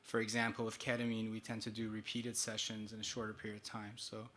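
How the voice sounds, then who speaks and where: A man answering as a clinician on a conference panel, measured and explanatory, with frequent fillers. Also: fairly low pitch, completely flat delivery, bright, forceful tone, a little hiss or hum.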